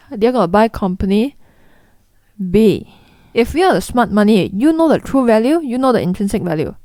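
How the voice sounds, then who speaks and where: A woman's voice: a little high, warm tone, no room sound. Blunt Singaporean woman vlogger, dry sound. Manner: blunt, chatty, mimics people in quotes. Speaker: investing vlogger. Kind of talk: direct-to-camera explainer.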